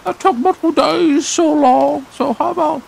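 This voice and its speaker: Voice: high voice, normal speed, vocal fry, darkish tone, low-quality recording. A performer voicing a chatty, apologetic, eager young woman who rambles and corrects herself in a comedic amateur redub. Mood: rambling. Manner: rambling, chirpy, self-correcting.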